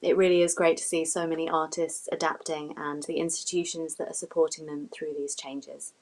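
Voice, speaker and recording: A woman's voice: low-pitched, flat delivery, a little fast, a little mellow, rough recording. A woman presenting and interviewing as the host of a live online show.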